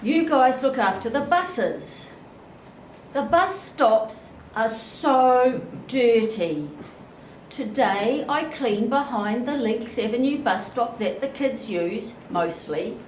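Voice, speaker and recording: A woman's voice: fairly high pitch, expressive, darkish tone. Blunt older woman in an echoey hall. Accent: New Zealand. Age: 70s. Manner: blunt, indignant, slow and emphatic. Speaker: audience member asking a question. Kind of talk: question from the floor at a public meeting. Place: chapel hall. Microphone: handheld microphone. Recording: echoey hall, faint room noise.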